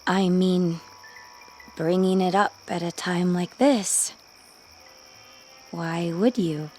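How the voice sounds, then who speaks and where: An actress playing a gentle, candid young woman in a radio drama, speaking softly. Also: fairly low pitch, slow pace, a little hiss or hum. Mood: puzzled.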